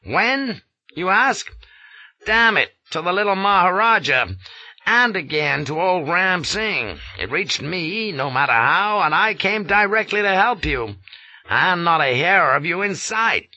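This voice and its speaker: Guy putting on a raspy grizzled yank colonel, barking way up high, very bright. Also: lively intonation, measured pace, muffled recording, full character voice. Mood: angry, scolding. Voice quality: rasping. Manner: barking, indignant, blustering. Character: gruff irascible American colonel, grizzled veteran.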